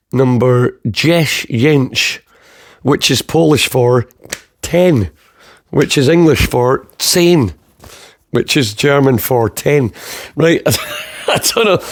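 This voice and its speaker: A man from the south of Scotland hosting a podcast in casual chat, rambling, swearing freely and laughing at his own jokes. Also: mid-range voice. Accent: southern Scottish. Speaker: podcast host.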